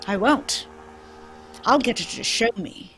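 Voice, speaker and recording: A woman playing a confident, scheming gentleman detective in a radio drama, brisk and commanding. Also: on the high side, expressive, slight background noise. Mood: confident, conspiratorial. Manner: brisk, commanding, self-assured. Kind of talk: old-time radio drama script read live.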